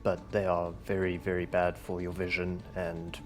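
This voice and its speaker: A man's voice: low voice, completely flat delivery, normal speed, soft background music. Casual man playing a tabletop game.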